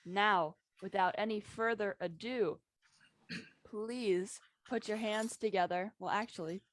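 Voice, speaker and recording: A woman's voice: medium pitch, dry recording. A woman hosting a casual live online gathering, welcoming people one by one in an informal, chatty way. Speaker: host of an online daily singing gathering. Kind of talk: casual live greetings and chat. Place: live video call from home.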